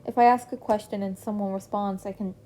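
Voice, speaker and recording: Shy stammering teen boy, read by a woman, hesitant, mellow tone. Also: medium pitch, steady tone, brisk, natural voice.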